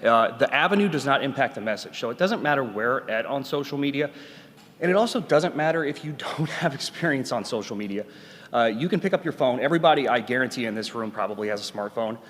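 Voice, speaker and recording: A man in his thirties from Tennessee giving a loud, earnest patient talk at a conference, with many "uh"s. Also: mid-range voice, animated, quick pace. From Nashville, Tennessee. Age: 30s. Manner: loud, earnest, filler-heavy. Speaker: patient advocate speaker. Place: conference hall. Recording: reverberant conference hall.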